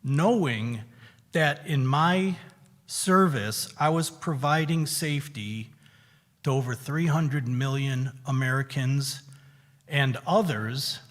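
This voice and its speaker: A middle-aged man speaks to a congregation as a guest, his delivery slow and unhurried. His voice sits at an ordinary pitch. The words trail into a long hall reverb. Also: a bit bright. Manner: slow, measured and conversational. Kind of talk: personal reflection at a synagogue service. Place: synagogue sanctuary.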